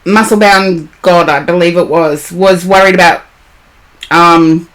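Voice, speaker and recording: Slow-talking, hoarse woman streamer. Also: on the low side, fairly even intonation. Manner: chatty, greets and answers chat by name. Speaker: live-stream tarot reader. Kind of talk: casual live-stream chat.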